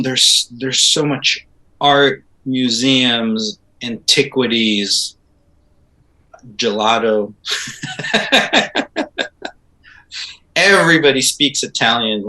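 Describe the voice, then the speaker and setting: A middle-aged man from Israel talks casually as an interview guest. His voice is bright and forceful and sits fairly low. He tells his stories in an animated way, full of ums and restarts. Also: rough recording. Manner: animated storytelling with laughs and fillers. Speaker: composer guest.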